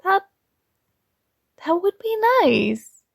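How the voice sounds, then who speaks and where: A woman voicing a possessive young princess in an ASMR roleplay, talking in a soft, slow, coaxing and teasing way. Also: very high-pitched, very animated, creaky, dry recording, studio quality, full character voice.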